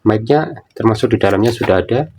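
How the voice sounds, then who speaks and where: A man's voice: on the low side, quick pace, darkish tone, slight background noise. A man giving a casual product demonstration, explaining things informally and often repeating himself. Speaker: product reviewer.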